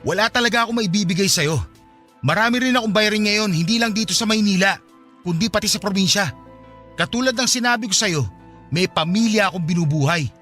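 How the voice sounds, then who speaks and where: High-pitched, quick, callous married man. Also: sharp tone, faint music behind. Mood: cold, defensive. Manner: defensive, scolding, blaming. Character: callous married man coldly rejecting his former mistress. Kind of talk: Tagalog radio drama acting.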